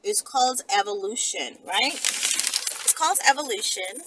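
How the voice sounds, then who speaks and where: A woman's voice: fairly high pitch, lively intonation, measured pace, heavy background noise. A woman talking casually to her viewers as she tells and reads stories, brash and teasing, swearing freely and tossing in asides. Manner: brash, profane, teasing, with frequent tag questions and asides. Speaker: vlogger telling and reading stories to viewers. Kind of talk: casual direct-to-viewer chat and storytime.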